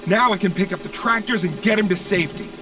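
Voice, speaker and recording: An actor playing a cheerful, cocky young racing plane in an animated video game. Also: male voice, high-pitched, fast, lightly acted. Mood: eager, helpful. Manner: upbeat, confident. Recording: distant voice under loud game music. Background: loud music, engine and vehicle sounds.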